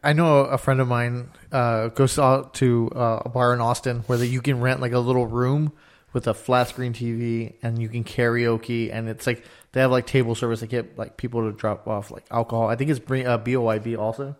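A man's voice: mid-range voice, level tone, brisk, hardly any pauses. Man, hesitant casual talker. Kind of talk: casual chat.

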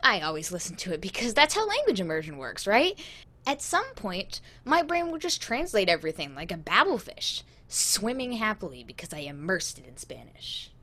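A woman's voice: mid-range voice, big pitch swings, moderate pace, pushed and bright. A woman playing a naive, eager young male radio superfan in a scripted comedy, stammering and earnest. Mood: earnest rambling.

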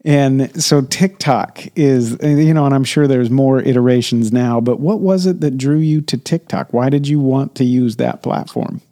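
A man hosting an interview, speaking in a thoughtful, measured way and often pausing to search for words. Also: mid-range voice, mellow. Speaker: interview host. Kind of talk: casual interview.

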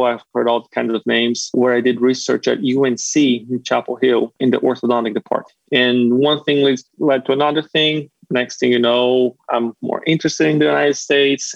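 A man in his thirties from Brazil, speaking English with a Brazilian accent as a podcast guest, relaxed and modest. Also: medium pitch, gravelly, no echo, muffled recording. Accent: Brazilian Portuguese. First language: Portuguese. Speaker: guest, orthodontist. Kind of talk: casual interview talk.

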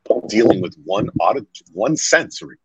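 A man talking conversationally as an interview guest, earnest and emphatic, telling stories and acting out dialogue in a deep voice. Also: very animated, normal speed, darkish tone, slightly rough, low-quality recording. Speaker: real estate agent being interviewed as a guest.

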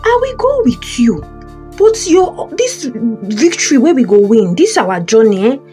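High-voiced, commanding Nigerian prophetess acted by a woman. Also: big pitch swings, unhurried, warm tone, faint music behind. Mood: firm, reassuring. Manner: emphatic, questioning tags. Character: confident, commanding prophetess Deborah, motherly and firm. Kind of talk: audio Bible play in Nigerian Pidgin and English.

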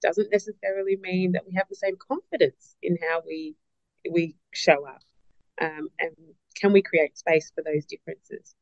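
A woman's voice: lowish voice, fairly even intonation, normal speed, no echo, lo-fi sound. A woman answering as a guest on a podcast, reflective, often repeating words as she starts a point and asking herself questions. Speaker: business partner and podcast guest. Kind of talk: interview answers.

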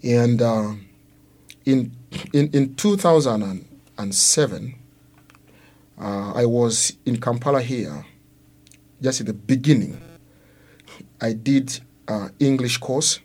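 A man with a Congolese accent and a husky voice at a middling pitch. A native Luo speaker, he tells his own story as an interview guest, building it in halting, careful phrases. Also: darkish tone. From Democratic Republic of Congo. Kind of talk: personal testimony in an interview.

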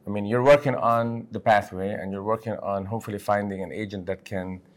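A man from Lebanon hosting a conversational medical podcast as a physician, speaking in halting, emphatic bursts. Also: fairly low pitch, steady tone. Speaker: podcast host, physician and section head.

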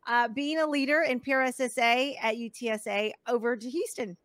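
A woman hosting a professional association podcast, upbeat and effusive, warmly affirming her guest. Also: a little high, fairly quick, no echo, clean studio sound. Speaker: podcast host. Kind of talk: enthusiastic podcast interview.

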